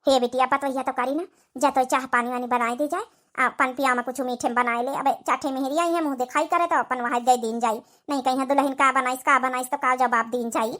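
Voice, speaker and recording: A woman's voice: sits high, fairly even intonation, a little fast, fairly bright tone. A woman voicing a fretful, peacemaking village mother-in-law in a comedy cartoon, coaxing and rustic, with an Awadhi accent.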